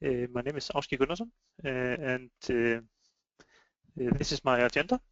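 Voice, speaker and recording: A man with an Icelandic accent gives an informal webinar presentation in a hesitant, halting way. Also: medium pitch, fairly bright tone, dry recording. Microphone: online web meeting microphone. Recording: dry, slightly muffled online call audio.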